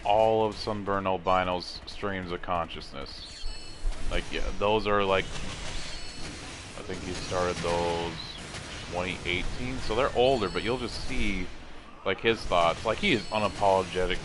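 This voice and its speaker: A man's voice: low-pitched, a little slow, background noise. A man chatting casually on a stream in a laid-back way with many fillers. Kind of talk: casual chat.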